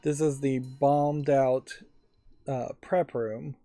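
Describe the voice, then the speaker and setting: A man's voice: mid-range voice, steady tone, takes it slowly, dark tone, no echo, soft background music. A man hosting a let's play, giving casual game commentary in a musing, analytical way.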